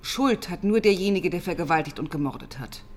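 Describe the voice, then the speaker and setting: A woman playing a composed, probing police inspector who narrates and questions in a measured, matter-of-fact way in a radio crime drama. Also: on the low side, animated, close to an everyday voice. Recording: studio radio drama with music.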